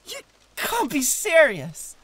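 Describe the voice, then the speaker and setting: A man playing a possessive, flustered demon with a British accent and a breathy voice, halting and repeating himself in a flirtatious audio roleplay. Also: very high voice, wildly swooping pitch, a bit bright, full character voice. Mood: desperate, pleading. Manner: halting, repeating words with wounded pride. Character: jealous, possessive male demon, flustered and pleading.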